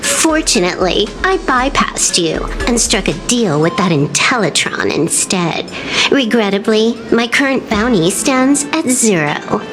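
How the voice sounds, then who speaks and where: Slow, teasing female outlaw. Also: on the high side, sing-song, over music, rough recording. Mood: cool, mocking. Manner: playful, confident, taunting. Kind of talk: video game voice acting.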